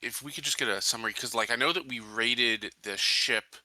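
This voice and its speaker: A man's voice: medium pitch, a little fast, pushed and bright, no echo. A man playing a tabletop game, asking questions casually and hesitantly, often repeating his words.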